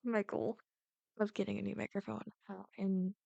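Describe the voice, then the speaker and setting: Casting: female online creator, informal rambling chat, medium pitch with vocal fry, very dry close sound. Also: flat delivery, normal speed, warm tone, no echo, lo-fi sound. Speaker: ASMR roleplay creator. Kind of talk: casual chat to viewers.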